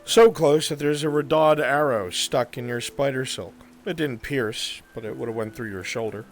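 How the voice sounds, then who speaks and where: A man's voice: medium pitch, normal speed, soft background music. A man talking in a live online roleplay game.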